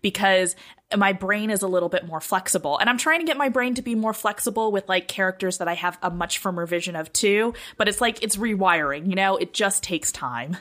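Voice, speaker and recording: A woman's voice: mid-range voice, lively intonation, a little fast, a bit bright. A woman chatting casually as a podcast panelist, explaining her thoughts in a conversational way.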